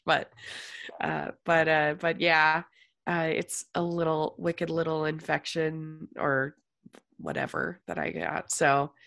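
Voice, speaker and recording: A woman's voice: low-pitched, gravelly, fairly bright tone. A woman with a congested, sick-sounding voice, hosting a casual podcast as a film critic, chatty with lots of fillers. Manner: chatty, many fillers and restarts.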